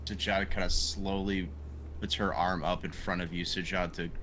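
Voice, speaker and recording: A man plays a tabletop game, chatting casually in a halting way. His voice is bright and on the low side. A little noise sits in the background. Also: level tone.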